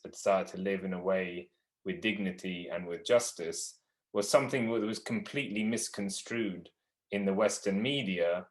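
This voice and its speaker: A man from Palestine and the UK with a Liverpool accent, speaking earnestly on an online panel over a video call. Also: low-pitched. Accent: Liverpool English. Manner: earnest, measured, with some restarts.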